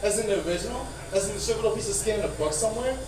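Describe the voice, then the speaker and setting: Rambling male comic with soft R sounds. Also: high-pitched, rapid-fire. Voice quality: speech impediment with soft R sounds. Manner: rambling, profane, self-deprecating. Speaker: stand-up comedian. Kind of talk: stand-up comedy at an open mic. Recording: echoey, noisy room, far from mic.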